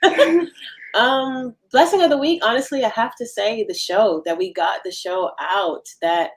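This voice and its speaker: A woman's voice: fairly high pitch, unhurried. A woman talking casually as an interview guest, in hesitant, loosely strung, appreciative phrases. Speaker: interview guest, cast member. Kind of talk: casual conversation.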